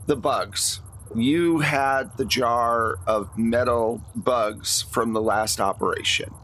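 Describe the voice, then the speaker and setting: A man's voice: mid-range voice, slow. A man speaking as game master, narrating a tabletop roleplaying game in a conversational way. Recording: clear steady background noise.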